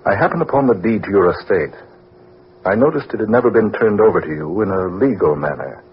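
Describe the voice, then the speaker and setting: An actor playing a smooth, calculating crooked lawyer in an old radio drama. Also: male voice, fairly low pitch, lively intonation, fairly quick, lightly acted. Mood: polite, deceptive. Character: scheming, smooth, calculating male lawyer swindling his client. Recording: dull, noisy vintage radio recording.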